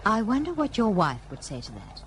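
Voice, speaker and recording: A perceptive, well-mannered colonial wife in a radio drama. Her medium-pitched voice is varied and expressive, with genteel, considered phrasing. A faint hum runs behind her. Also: a little fast. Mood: polite, curious. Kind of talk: period radio play acting.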